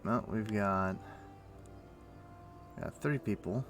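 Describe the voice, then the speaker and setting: A man livestreaming a game, chatting casually and thinking aloud in a rambling way, with a rough morning voice. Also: on the low side, takes it slowly, soft background music. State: rough morning voice, throat clearing. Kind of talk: casual chat while playing an online game.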